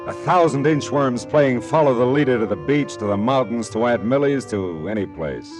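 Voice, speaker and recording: A man's voice: mid-range voice, lively intonation, normal speed, over music, natural voice. A man playing a wry, world-weary American private detective in a 1940s radio drama, speaking in terse, punchy phrases. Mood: wry, leisurely. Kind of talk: 1940s radio drama acting, first-person narration.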